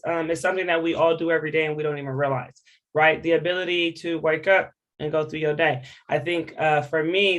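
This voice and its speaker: Casting: American woman, interview guest, lower register, earnest, emphatic, conversational and frank. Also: fairly bright tone, poor audio. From Hawaii, United States. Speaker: interview guest, education program director. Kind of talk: candid, informal interview talk.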